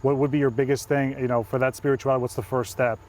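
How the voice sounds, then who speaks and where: Male host, mid voice, quick and enthusiastic, casual outdoor interview. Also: mid-range voice, little pitch movement, a little mellow. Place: outdoors by a parked car.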